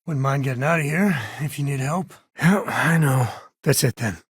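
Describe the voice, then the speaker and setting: A man voicing a rugged, wry, warm-hearted space ranger in a video game, with a folksy, conversational way of talking. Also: mid-range voice, moderate pace, slightly rough, no room sound, clean studio sound, natural voice. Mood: easygoing. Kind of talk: video game character dialogue.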